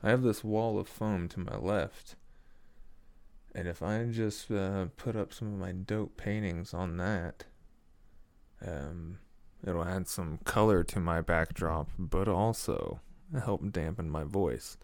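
A young man with a very low, deep voice rambles slowly through a casual solo podcast. He is laid-back, swears freely and laughs at himself. He sits close to a desk mic in a small foam-lined room that sounds dry and dead. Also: darkish tone, no echo. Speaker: podcast host. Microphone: studio mic at a desk.

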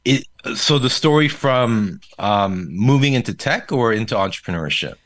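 A man's voice: mid-range voice, expressive, normal speed, a bit bright, dry recording. A man from South Korea whose first language is Korean, telling his story as a guest in a casual interview, with frequent fillers. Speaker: podcast guest.

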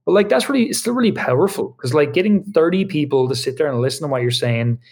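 A man speaking as a podcast guest in casual interview talk, answering in quick bursts with many restarts and fillers from his video studio. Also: fairly high pitch, lively intonation, darkish tone, slightly rough. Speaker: podcast guest, online business co-founder. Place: home video studio with lights.

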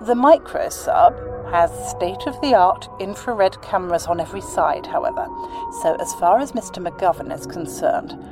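A woman acts a composed presenter of a space mission in a sci-fi drama. Her voice sits a little low, formal and assured in scripted explanation, with music clearly playing behind her. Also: moderate pace, natural voice. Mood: composed, confident.

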